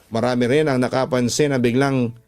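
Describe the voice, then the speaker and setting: A man's voice: mid-range voice, steady tone, fairly quick, darkish tone, slight background noise. A man with a Filipino accent, a radio drama host narrating listener letters and reflecting on them in a storytelling style. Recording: music playing behind the voice. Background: background music.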